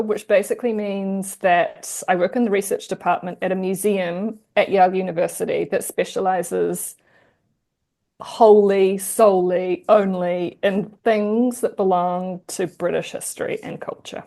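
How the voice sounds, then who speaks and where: A woman with a New Zealand accent, a guest historian chatting in an interview, lively and quick to laugh. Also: mid-range voice. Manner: chatty, animated, laughs easily. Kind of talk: casual interview conversation.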